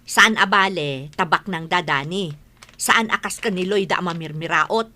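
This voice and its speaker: A woman's voice: lowish voice, measured pace, pushed and bright. A woman playing an aggrieved village moneylender in an Ilocano radio comedy drama, scolding and emphatic, with an Ilocano accent. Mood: nagging.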